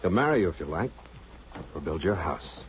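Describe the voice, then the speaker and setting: An actor playing a grave, troubled ship's captain who also narrates, in old-time radio drama style, clipped and earnest. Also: male voice, low-pitched, very animated, moderate pace, a little hiss or hum. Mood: businesslike, restrained. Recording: muffled old radio recording with music.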